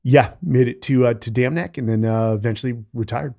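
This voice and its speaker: A man's voice: medium pitch, brisk, vocal fry, dark tone. A middle-aged man, a military veteran, chatting and telling anecdotes as a podcast guest on a studio mic. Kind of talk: casual chat and anecdotes. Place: small indoor podcast studio. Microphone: studio mic on boom arm.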